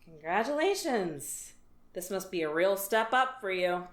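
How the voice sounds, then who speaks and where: A woman voicing a weary, sarcastic alien office worker in an audio drama, with a dry, deadpan delivery. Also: medium pitch, unhurried. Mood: sardonic. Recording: roomy echo, faint music.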